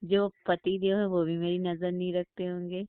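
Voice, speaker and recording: A woman's voice: on the low side, flat delivery, moderate pace, clear, resonant tone, no room sound. A middle-aged woman, chatting casually in a teasing, coy, flirtatious way on a phone call. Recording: muffled narrowband phone call recording.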